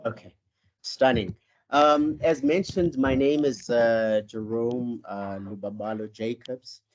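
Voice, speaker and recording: A man's voice: medium pitch, steady tone, slow, slight background noise. A South African man from Cape Town, a life coach giving an online presentation and introducing himself with frequent ums and self-corrections. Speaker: life coach, guest speaker. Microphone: video call. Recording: muffled video call audio.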